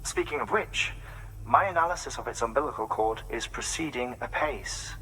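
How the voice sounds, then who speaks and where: Game role: courteous lab scientist, mid-pitched bright voice, formal, articulate, cerebral. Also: male voice, animated, normal speed, slight background noise, poor audio. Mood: appreciative, businesslike. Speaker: video game character. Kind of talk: dramatic game dialogue.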